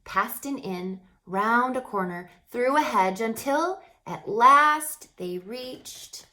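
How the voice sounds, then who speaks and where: A woman reading a children's story aloud with dramatic expression, stretching words and dropping into hushed passages. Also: a little high, animated, slow. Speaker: storybook reader.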